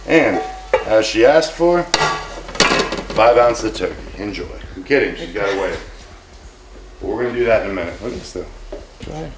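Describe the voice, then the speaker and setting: A man's voice: on the low side, lively intonation, normal speed, long pauses, noisy background. A man in his forties hosting a cooking vlog in his home kitchen, brash, joking and profane. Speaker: cooking show host. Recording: sizzling frying pan behind.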